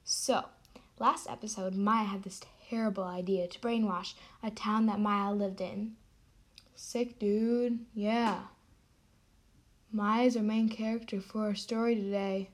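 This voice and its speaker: A woman's voice: medium pitch, flat delivery, slow. A woman playing a chatty, sardonic narrator who hosts an amateur radio drama. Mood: gossipy. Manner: sardonic, informal. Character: chatty, sardonic story narrator hosting the show. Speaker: host.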